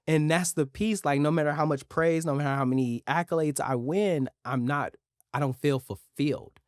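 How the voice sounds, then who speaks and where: A man's voice: fairly high pitch, moderate pace, dry recording, studio quality. Earnest man hosting a podcast. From United States. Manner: earnest, emphatic, repeats words. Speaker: podcast host. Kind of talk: casual interview chat.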